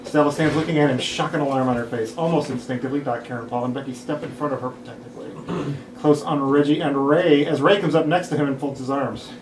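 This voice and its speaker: A man's voice: medium pitch, steady tone, fast. A man reading stage directions as narrator at a table read of a script. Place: room at a group table read. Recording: echoey room, distant mic, hiss.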